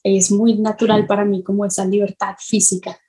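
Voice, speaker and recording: Thoughtful woman with a mellow voice. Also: mid-range voice, flat delivery. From Colombia. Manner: thoughtful, halting, searching for words. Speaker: illustrator, podcast guest. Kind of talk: reflective conversational interview.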